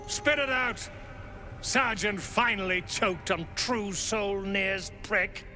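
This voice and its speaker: A man playing a rough, crude dwarf patrolman in a video game, brusque and coarse. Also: sits high, slow pace, loud music behind the voice. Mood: surprised, crude.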